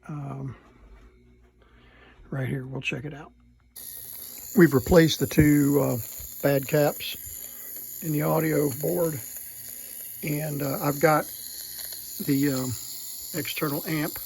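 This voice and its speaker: A man at an indoor workbench gives an unscripted, hands-on repair demonstration, thinking aloud in a halting way as he works. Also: a little high, steady tone, gravelly, a little mellow, rough recording. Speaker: electronics repair hobbyist presenting.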